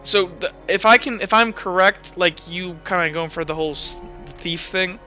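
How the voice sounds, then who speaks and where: A man narrating and refereeing a tabletop role-playing game among friends, in an informal, animated storytelling way. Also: high-pitched, moderate pace, lo-fi sound, soft background music. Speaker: game master.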